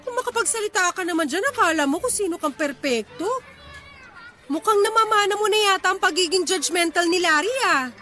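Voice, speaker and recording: A woman's voice: extremely high pitch, lively intonation, a little fast, bright, forceful tone, heavily acted. A woman acting a feisty, indignant, lovestruck young woman in an audio drama. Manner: indignant, combative. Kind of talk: acted Tagalog audio drama dialogue.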